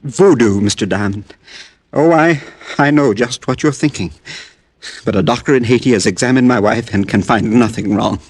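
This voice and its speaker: An actor playing a sickly, desperate farmer from Haiti in an old radio drama, halting, earnest and polite. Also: male voice, mid-range voice, breathy edge, no room sound. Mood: grave, earnest. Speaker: actor in a supporting role. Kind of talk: 1940s-50s radio drama acting. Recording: old radio recording, dry studio.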